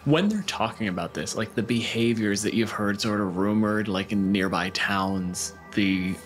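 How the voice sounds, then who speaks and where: Low-voiced man running a tabletop game. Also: normal speed, soft background music. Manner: irreverent, swears freely. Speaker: game master and host. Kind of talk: casual tabletop game banter and narration.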